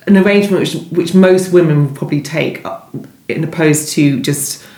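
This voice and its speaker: A woman, a novelist being interviewed, answering conversationally and thinking aloud as she rephrases. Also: lowish voice. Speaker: novelist being interviewed about her book. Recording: roomy, echoing indoor sound.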